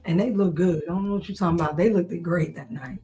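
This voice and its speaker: Calm matter-of-fact podcast voice. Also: medium pitch, fast talker, soft background music. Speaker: podcast co-host. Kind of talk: casual banter.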